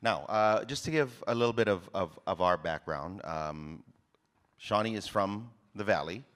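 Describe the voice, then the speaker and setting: A man's voice: lowish voice, a little slow, fairly bright tone. A man from Hawaii, a marriage ministry leader, joking as he opens a testimony on a church stage. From Hawaii, USA.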